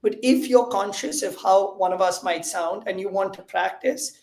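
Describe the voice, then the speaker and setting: A man with an Indian accent, a startup CEO talking as a guest in a conversational interview, energetic and quick, sometimes repeating words as he starts. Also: high voice, gravelly. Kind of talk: casual conversational explaining. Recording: roomy echo on voice.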